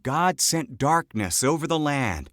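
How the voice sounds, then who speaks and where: A narrator reading a children's Bible story in simple, measured short phrases, in a scripted storytelling style. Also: medium pitch, big pitch swings, slight background noise. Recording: loud music under the voice. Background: loud background music.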